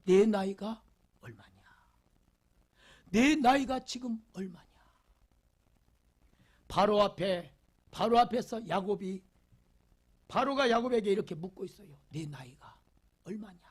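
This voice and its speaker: A male pastor preaching a Sunday sermon, leading the congregation in reading and repeating rhetorical questions, swinging from loud emphasis to near whispers. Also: sits high, lively intonation, a little slow, pauses often, breathy edge. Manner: leads the congregation in call-and-response reading.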